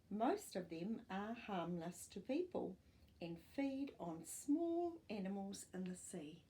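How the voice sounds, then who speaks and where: An older woman hosting a children's storytime, reading non-fiction aloud with expressive, clear phrasing and explanatory asides for young listeners. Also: mid-range voice. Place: small furnished living room, indoors.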